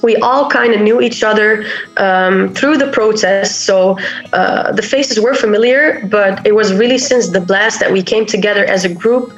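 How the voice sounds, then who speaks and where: A woman's voice: mid-range voice, normal speed, highs cut off, soft background music. A woman from the Netherlands, guest on a radio interview, answering unscripted with many fillers. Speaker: guest, volunteer group co-founder.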